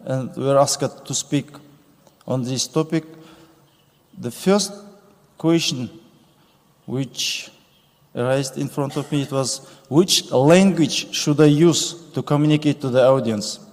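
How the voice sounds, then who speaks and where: Slow man at a podium. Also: mid-range voice, a little mellow. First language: Kazakh. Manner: slow deliberate phrasing with pauses. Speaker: conference speaker. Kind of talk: formal conference speech. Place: large conference hall stage. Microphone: podium microphone. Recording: reverberant conference hall.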